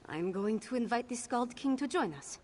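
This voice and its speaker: A woman's voice: a little high, animated, moderate pace, a little mellow, a little hiss or hum, close to an everyday voice. An actress playing a resolute, grave and caring female warrior leader in a video game. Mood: grave, determined.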